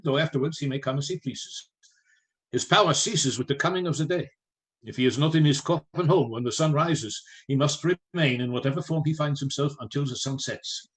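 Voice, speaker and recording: Guy playing a grave professor vampire hunter, fairly high voice, formal speechy delivery, radio drama. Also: natural voice, rough recording. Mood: grave, commanding. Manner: formal, deliberate, oratorical. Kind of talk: radio play acting.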